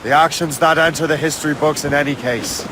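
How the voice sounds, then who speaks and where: An actor playing a brooding young Irish sea captain with a dry, terse manner, in a dramatic game cutscene. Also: male voice, on the high side, brisk, background noise, close to an everyday voice. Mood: dry, wry. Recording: waves and ship ambience behind voice.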